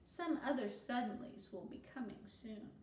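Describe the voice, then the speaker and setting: A woman's voice: on the high side, moderate pace, a little mellow, lightly acted. A woman voicing a bossy, self-assured second-grade girl who tells stories in a confident, theatrical way. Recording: roomy echo with faint noise.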